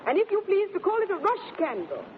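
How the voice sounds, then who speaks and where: Brief: a spirited wife, high and very animated, theatrical 1930s radio Shakespeare on a tinny recording. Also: female voice, a little fast, full character voice. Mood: weary submission. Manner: theatrical, emphatic. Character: spirited, sharp-tongued wife learning to submit. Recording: narrow, noisy vintage 1930s radio recording.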